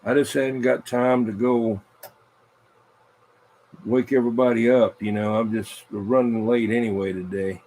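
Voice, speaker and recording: A man hosts an on-air game in a casual, mid-pitched voice. He talks informally and often repeats his short announcements as he keeps things moving. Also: flat delivery, unhurried, pauses often, a little mellow, low-quality recording. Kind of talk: casual live radio chatter, announcing a spin game.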